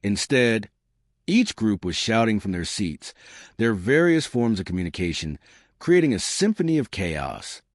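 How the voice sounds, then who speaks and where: A man narrates a science fiction story in a low voice. His pitch moves in lively swings through the scripted prose. The sound is dry and close, with no room around it. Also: no room sound.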